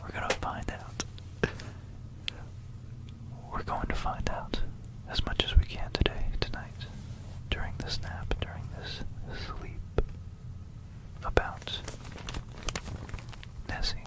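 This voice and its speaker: An ASMR host narrating an educational piece in a hushed, breathy, unvoiced whisper, unhurried and conspiratorial, close to a stereo microphone. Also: low-quality recording. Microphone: close stereo binaural microphone.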